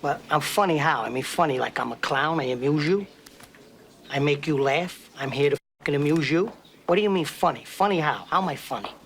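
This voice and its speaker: A man's voice: a little high, brisk, a little hiss or hum, close to an everyday voice. An actor playing a volatile male gangster who presses with confrontational questions, heard as a film clip replayed from a distance. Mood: menacing.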